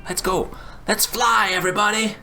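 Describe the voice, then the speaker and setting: A man's voice: high-pitched, fairly even intonation, normal speed, creaky, music in the background. Chatty man with a French accent. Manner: chatty, rambling, self-interrupting with fillers. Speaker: live coding streamer. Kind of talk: casual live-stream tech chat.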